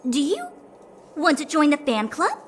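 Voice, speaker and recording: Very high-pitched, gushing fangirl. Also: unhurried, exaggerated voice. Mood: eager, conspiratorial. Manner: adoring, excitable, earnest. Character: gushing young female fan club devotee. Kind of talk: video game voice acting.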